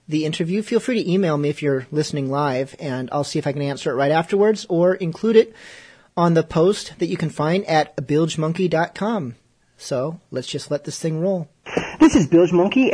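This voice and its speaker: A man's voice: a little high, warm tone, husky. A man hosting a podcast, talking in a chatty, jokey way and interviewing a guest. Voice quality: slightly stuffy. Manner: chatty, jokey, self-deprecating. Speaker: podcast host. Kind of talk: casual podcast talk and interviewing.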